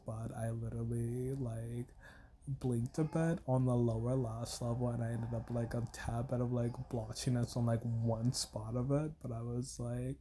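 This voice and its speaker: A man's voice: mid-range voice, warm tone, a little hiss or hum. A young man with a congested, stuffy voice, rambling casually through a makeup tutorial voiceover with many "like" fillers. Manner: rambling, heavy use of filler "like". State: congested from a cold. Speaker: beauty vlogger.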